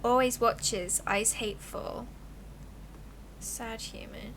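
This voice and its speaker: A woman voicing a timid, sweet little possum that talks in halting, simple broken phrases. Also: a little high, steady tone, fairly bright tone, slight background noise, low-quality recording. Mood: timid, glum. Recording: background music behind voice.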